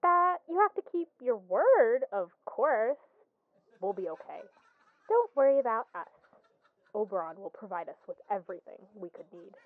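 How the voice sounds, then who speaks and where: A woman's voice: fairly high pitch, sing-song, moderate pace, no echo. A woman playing a bewitched, loving wife on a phone call in an audio drama, sweet and unnervingly reassuring. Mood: eerily sweet. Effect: phone call filter. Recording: thin, tinny phone line.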